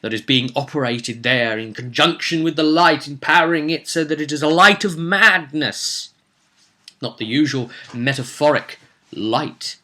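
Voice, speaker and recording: A man's voice: a little high, unhurried, bright, forceful tone. A man recapping a tabletop roleplay session as game master, telling it theatrically with casual asides.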